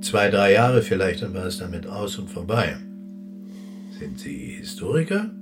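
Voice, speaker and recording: An actor playing a long-dead German general in a radio play, brusque, wry and reserved, with a curt, dry way of talking. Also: male voice, sits low, very animated, measured pace, over music. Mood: curt, dismissive.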